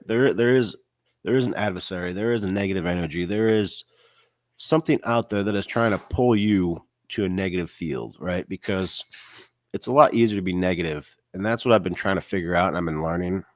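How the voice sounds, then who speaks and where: Guy with a cold, stuffy nasal voice, rambly pep talk, lots of "you know", kinda low, dry sound. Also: no echo. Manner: rambling, many "you know" and "right?" fillers. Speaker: online business vlogger. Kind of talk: casual motivational talk.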